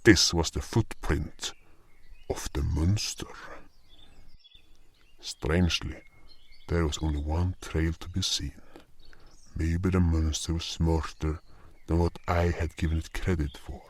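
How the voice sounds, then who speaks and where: A man's voice: rumbling low, little pitch movement, slow, long pauses. A man reading scripted first-person narration for a fantasy audio story.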